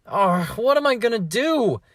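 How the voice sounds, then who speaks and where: A man's voice: very high-pitched, big pitch swings, measured pace, dry recording, clean studio sound, exaggerated voice. A man voicing an anxious, whiny thirteen-year-old boy in an amateur fandub, exasperated and hesitant.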